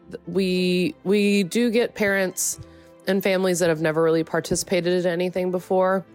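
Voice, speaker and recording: A woman's voice: on the low side, a little mellow. Congested woman giving practical advice. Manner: practical, explains step by step. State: congested from a cold. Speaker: color guard educator, segment host. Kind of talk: casual advice segment.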